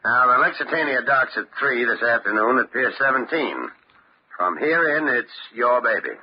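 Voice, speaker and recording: Man playing animated police commissioner, noisy old radio. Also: medium pitch, moderate pace, close to an everyday voice. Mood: brisk, commanding. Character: businesslike male big-city police commissioner. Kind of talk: old-time radio crime drama acting. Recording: muffled old radio recording, clear noise.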